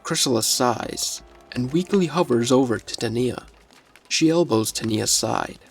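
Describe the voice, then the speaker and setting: A man reading scripted story narration in a measured, descriptive manner. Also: mid-range voice, lively intonation, vocal fry, soft background music.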